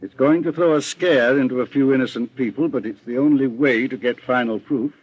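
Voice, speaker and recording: An actor with an American accent playing a shrewd, calm, authoritative middle-aged private detective in an old-time radio drama, speaking deliberately. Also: male voice, medium pitch, slight background noise, lightly acted. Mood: calm, commanding. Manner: measured, deliberate, composed. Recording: muffled vintage American radio recording.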